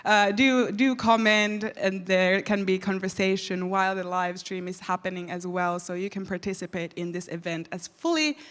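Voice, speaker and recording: A woman's voice: medium pitch, normal speed, hardly any pauses, a bit bright. A woman opening a live online event, upbeat and encouraging viewers to take part. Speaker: event organizer.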